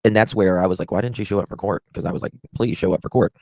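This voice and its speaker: A man hosts a casual livestream in a slightly low voice. He talks in a chatty, halting way and often repeats a phrase to stress it. The sound is very dry, with little trace of the room. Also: steady tone, darkish tone, no echo. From Southern United States. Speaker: livestream host.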